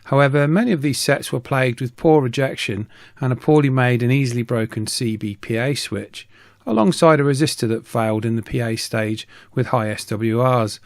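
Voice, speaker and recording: A man's voice: medium pitch, normal speed, no echo, studio quality. A middle-aged man reading a scripted voice-over narration as an online video host. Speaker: YouTube host, radio hobbyist.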